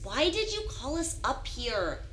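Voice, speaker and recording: An actress playing a young, sharp-witted newcomer aboard a spaceship in an audio drama. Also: female voice, a little high, sing-song, a little slow, slight background noise. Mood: puzzled.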